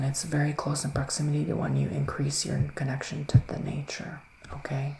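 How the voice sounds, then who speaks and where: A man speaking in a hushed, breathy ASMR style that often slips into a whisper, soothing and reassuring as he plays a psychic witch giving a reading. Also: on the high side. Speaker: ASMR performer playing a psychic reader. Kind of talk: ASMR roleplay, improvised tarot reading. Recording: roomy echo, faint background noise.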